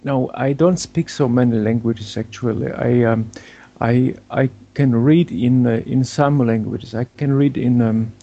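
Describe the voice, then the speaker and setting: A man's voice: medium pitch, measured pace, vocal fry, few pauses, warm tone, breathy edge, dull audio. A man, an English speaker, answering interview questions hesitantly and searching for words. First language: Polish. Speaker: guest blogger. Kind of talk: remote interview.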